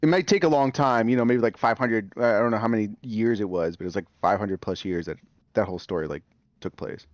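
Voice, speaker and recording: A man's voice: lowish voice, quick pace, no echo, muffled recording. A man chatting casually as a podcast guest, rambling with lots of fillers and restarts.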